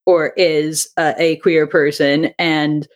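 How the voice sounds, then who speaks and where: A woman's voice: low voice, little pitch movement, slow pace. A woman speaking as an interview guest, a creator explaining her work in a casual, talkative, loosely structured way. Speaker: interview guest, audio drama writer and director.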